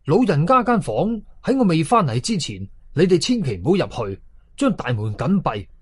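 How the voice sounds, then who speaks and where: A man's voice: a little high, very animated, moderate pace, breathy edge, dry recording. Man playing a stern, commanding Taoist exorcist. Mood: grave, commanding. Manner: commanding, declamatory.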